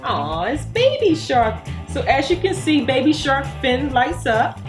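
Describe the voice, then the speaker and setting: Slow-paced woman hosting a toy review. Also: sits high, sing-song. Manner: simple explanations addressed to a child and viewers. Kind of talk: casual unboxing and toy demonstration. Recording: background music behind the voice. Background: music.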